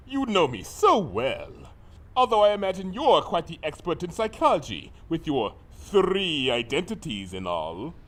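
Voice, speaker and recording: Villain creature: high male voice, wildly swooping delivery, pompous, suave, menacing, formal storybook grandeur. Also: wildly swooping pitch, a bit bright, heavily acted. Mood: wry, probing. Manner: formal, theatrical, deliberate. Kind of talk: dramatic fan fiction reading.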